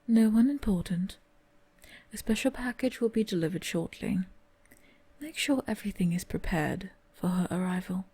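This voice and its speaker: A woman acting in an audio roleplay as a cold, commanding mistress, often whispering with a breathy voice. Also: medium pitch, animated, moderate pace, warm tone. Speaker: channel host acting a cold manor mistress.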